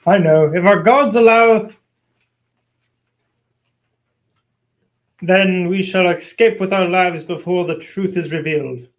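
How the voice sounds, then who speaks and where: A man's voice: sits high, normal speed, echoey room, muffled recording, lightly acted. A man playing a proud, aggrieved scheming lord with an indignant, complaining manner in an amateur radio play. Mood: worried. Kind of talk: amateur old-time radio play acting.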